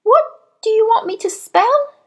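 A woman's voice: extremely high pitch, expressive, measured pace, pitched above the performer's own voice. A woman voicing a brave little schoolboy in a children's storybook, earnest, innocent and a bit nervous. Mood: puzzled.

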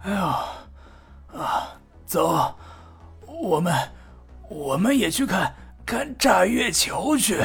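A woman playing a weary, doting old grandfather in an audio drama, panting and halting, with a creaky, raspy voice. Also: mid-range voice, sing-song, soft background music, exaggerated voice. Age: older. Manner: halting, groaning between words. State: out of breath, panting.

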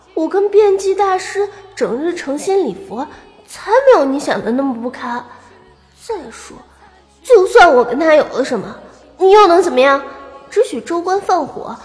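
A woman's voice: extremely high pitch, sing-song, normal speed. A woman playing a proud, wilful young princess wounded in love in a Chinese historical audio drama. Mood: indignant. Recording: long reverb, faint music behind.

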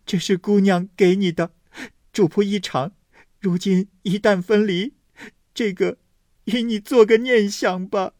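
A man doing a gentle tearful young maid. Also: high voice, steady tone, measured pace, no echo, clean studio sound, pitched above the performer's own voice. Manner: tearful, soft. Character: young maidservant, sad and gentle at parting.

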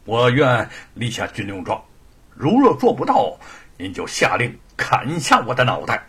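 Man voicing a fiery old militiaman, husky and gravelly. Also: medium pitch, huge pitch swings, a little slow, heavily acted. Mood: resolute. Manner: impassioned, emphatic. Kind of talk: dramatised Chinese historical storytelling.

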